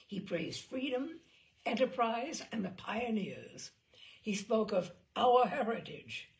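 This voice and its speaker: A woman reading a memoir aloud as a narrator, in a wry and expressive storytelling manner. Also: on the high side, slow pace, gravelly, low-quality recording. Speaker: reader. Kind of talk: audiobook narration.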